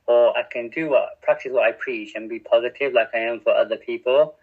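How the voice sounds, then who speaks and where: A man's voice: medium pitch, brisk, husky. A middle-aged man from England, speaking openly and reflectively as a podcast guest, often restarting his sentences and laughing at himself. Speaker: podcast guest, radio community editor. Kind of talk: candid conversational interview. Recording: tinny phone-like line.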